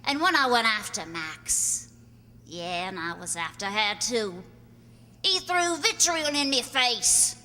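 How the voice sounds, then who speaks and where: A woman's voice: on the high side, expressive, slow, sharp tone, full character voice. Bitter, coarse, scarred slum woman, hall echo. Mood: vengeful, anguished. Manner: coarse, slangy, taunting. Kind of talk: amateur radio play acting. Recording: reverberant hall, faint noise and hum.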